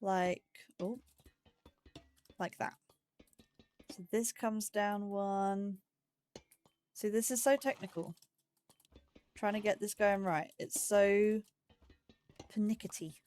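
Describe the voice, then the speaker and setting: A woman hosting a slow game series, chatting to herself as she builds, with phrasing and startled yelps when danger appears. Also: medium pitch, darkish tone, faint music behind. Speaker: let's play host. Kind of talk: casual gameplay commentary.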